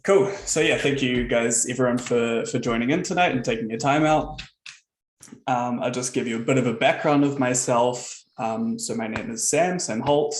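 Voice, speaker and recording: A man with a New Zealand accent giving a relaxed, conversational talk as guest speaker at an online meetup, on a video call. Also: mid-range voice, moderate pace, roomy sound. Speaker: guest speaker, software founder.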